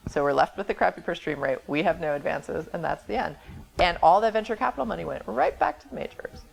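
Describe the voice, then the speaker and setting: A woman's voice: on the low side, fast talker, creaky, low-quality recording. A woman being interviewed as a label president, explaining things informally in a plainspoken, emphatic and wry way.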